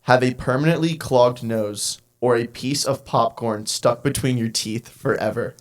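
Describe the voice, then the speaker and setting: A man's voice: medium pitch, unhurried. Upbeat young man hosting casually. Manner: upbeat, fumbling restarts. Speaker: podcast co-host. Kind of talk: casual chat.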